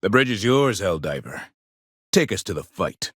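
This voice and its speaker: Grandiose, zealous military officer, dry close sound. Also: male voice, fairly low pitch, big pitch swings, normal speed, fairly bright tone, husky, no room sound, full character voice. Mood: rousing. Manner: grandiose, formal, stern oratory. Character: solemn, zealous male military democracy officer rallying soldiers. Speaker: military officer and mission briefer. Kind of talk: scripted video game voice acting.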